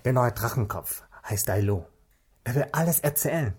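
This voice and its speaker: An actor playing an eager, polite and somewhat stubborn police detective in a radio drama, with a husky, breathy, hesitant delivery. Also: male voice, medium pitch, lively intonation, normal speed, darkish tone. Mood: eager, defensive.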